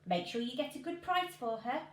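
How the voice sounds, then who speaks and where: An exasperated storybook mother, as a woman reader plays her. She is bossy and scolding, fairly high and very animated, in a roomy space. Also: normal speed. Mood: resigned, bossy. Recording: roomy home recording with noise.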